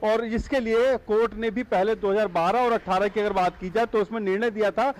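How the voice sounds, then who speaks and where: A man giving a live, unscripted news report as a correspondent, halting and repetitive with many fillers. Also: high voice, steady tone, low-quality recording. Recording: live feed with clear background noise.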